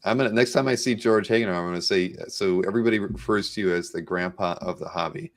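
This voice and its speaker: A man with a fairly deep voice hosts a casual interview, recorded dry with no room sound. He is easygoing and agreeable, speaking in a loose conversational way. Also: fast, no echo. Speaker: interview host.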